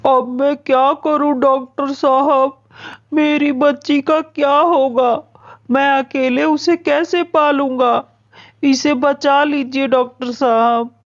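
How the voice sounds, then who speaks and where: A woman's voice: high voice, steady tone, slow, lo-fi sound. A woman voicing a tender, worried widowed father bird in a children's cartoon story, earnest and pleading. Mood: distressed.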